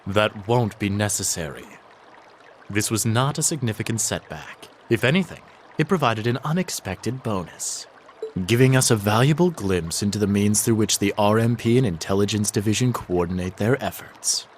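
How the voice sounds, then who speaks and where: A man plays a calculating conspirator in a game cutscene. His lowish voice is cold, formal and sure of itself. Faint noise lies behind it. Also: expressive, normal speed, natural voice. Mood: cold resolve. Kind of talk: video game villain acting.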